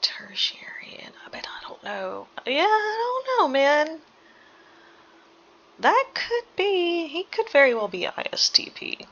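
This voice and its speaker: A woman giving casual, analytical game reaction commentary, hesitant and thinking out loud. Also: very high voice, big pitch swings, slow pace, dull audio. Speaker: reaction video host.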